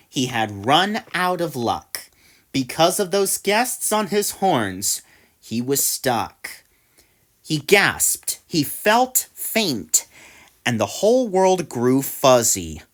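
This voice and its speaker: A woman reads a rhyming children's story aloud in a slightly low voice. She takes her time, phrasing each line deliberately, and her intonation rises and falls with plenty of drama. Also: fairly bright tone. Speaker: story reader. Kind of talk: expressive read-aloud storybook narration in rhyme.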